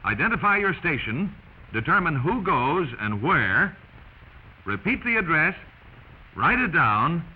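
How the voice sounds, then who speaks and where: A man's voice: on the high side, very animated, unhurried, pauses often, slightly rough. An American man narrating a 1940s instructional film in an emphatic, instructive manner, addressing the listener directly. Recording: muffled, noisy 1940s film soundtrack.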